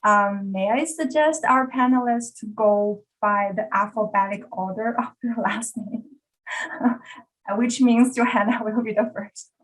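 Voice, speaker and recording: A woman from China, speaking English as a second language, opening an online workshop with measured, hesitant phrasing over a video call. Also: medium pitch, rough recording. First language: Chinese. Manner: measured, with frequent ums and uhs. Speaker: workshop facilitator.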